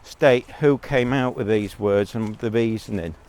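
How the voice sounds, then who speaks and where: A man's voice: lowish voice, unhurried, a little mellow. A man giving a blunt, rambling monologue as a vlogger, talking while out on a walk.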